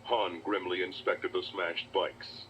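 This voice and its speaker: A man's voice: deep voice, level tone, slow, a bit bright, muffled recording, slight background noise. A man giving dramatic scripted narration for a children's read-along adventure. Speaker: storybook narrator. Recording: old cassette, music behind. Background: music.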